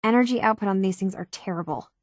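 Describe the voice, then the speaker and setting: Game role: young female synth tinkerer, voiced by an actress, earnest and halting, raspy-soft texture, very dry studio sound. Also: medium pitch, no echo, close to an everyday voice. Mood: frustrated, muttering. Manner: earnest, hesitant, conversational. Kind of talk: video game character dialogue.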